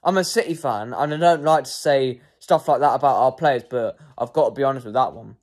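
A man's voice: medium pitch, fairly quick. A young man giving casual post-match player ratings as a football fan, hesitant with frequent ums and self-corrections.

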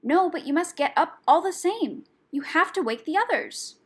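A woman's voice: high voice, expressive, moderate pace, a bit bright, voice pushed up. Woman putting on a peevish, snappish female rat. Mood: urgent, indignant. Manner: muttering, hissing, exclaiming indignantly. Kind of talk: audiobook character voice.

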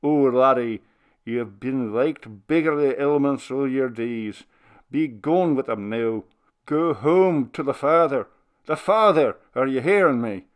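A man's voice: mid-range voice, a little slow, smooth, pitched above the performer's own voice, lightly acted. A man voicing an old minister who pleads with a ghost, his voice sobbing and commanding by turns. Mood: tender.

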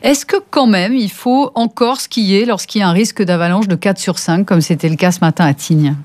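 A woman's voice: mid-range voice, normal speed, a little mellow. A woman co-hosting a live radio news interview, asking questions from the studio. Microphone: studio microphone on stand.

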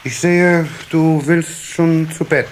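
A man's voice: fairly high pitch, fairly even intonation, slow pace. A man playing a kindly, proud water sprite father in a children's radio play, musing and fatherly. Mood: fatherly, indulgent. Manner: fatherly, musing, hesitant repetitions. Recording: faint hiss of old cassette.

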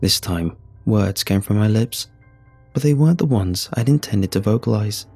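Husky low-voiced man narrating horror. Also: expressive, moderate pace, a little mellow, no room sound. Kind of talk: scripted first-person horror narration. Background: music.

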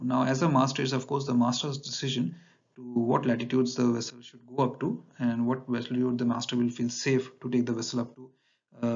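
A man teaching navigation over slides in a measured lecture, often pausing with fillers. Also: mid-range voice, creaky. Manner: measured, explanatory, with frequent fillers and restarts. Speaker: navigation instructor. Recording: dull lo-fi voice-over.

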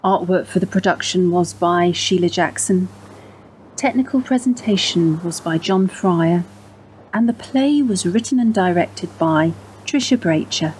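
A woman announcer reading scripted credits in a measured narration style. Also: lowish voice, expressive, a little mellow, a little hiss or hum, low-quality recording.

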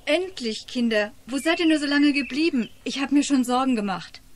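An anxious mother in a radio drama. She speaks in a hushed, grave manner with a slightly high voice. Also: animated, moderate pace. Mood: relieved worry. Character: worried mother, relieved and grave. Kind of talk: radio play acting.

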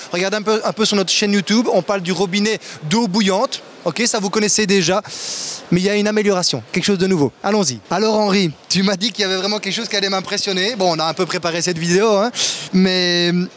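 Showroom host, man in his thirties, higher voice, handheld mic in a trade fair hall with faint background noise. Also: fast. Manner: lively, enthusiastic, asks questions, stammers at times. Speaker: kitchen store host. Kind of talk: casual product demo chat.

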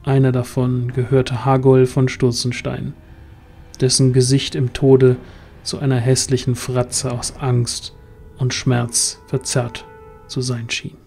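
A man's voice: mid-range voice, completely flat delivery, slow pace, creaky, darkish tone, faint music behind. A man narrating a scripted fantasy audio drama as its storyteller.

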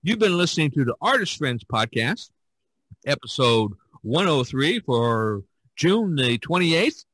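A man's voice: on the high side, very animated, a little slow, fairly bright tone, breathy edge, no echo, rough recording. Folksy, rambling male podcast host. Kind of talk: casual conversation.

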